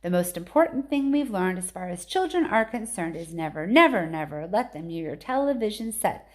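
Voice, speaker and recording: A woman's voice: on the low side, sing-song, fairly quick. Woman as scolding little workers reciting rhymes. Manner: rhythmic, emphatic verse recitation. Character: tiny factory workers chanting moralising, scolding rhymes.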